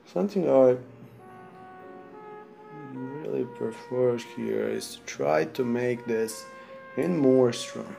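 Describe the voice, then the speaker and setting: A man from Serbia with a Serbian accent, sick, talking through a software tutorial in halting non-native English while he clicks. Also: medium pitch, vocal fry, music in the background. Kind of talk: screen-recorded software tutorial.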